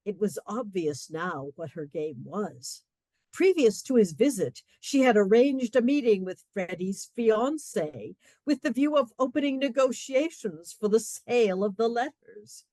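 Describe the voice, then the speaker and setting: A woman narrates a comic radio play as a scripted story. Her voice is mellow and mid-pitched. The recording is dry, with no room echo. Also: animated, a little slow.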